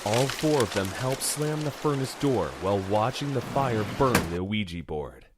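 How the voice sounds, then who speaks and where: A man's voice: on the low side, animated, normal speed, noisy background. A man reading dramatic scripted narration for a horror audio drama.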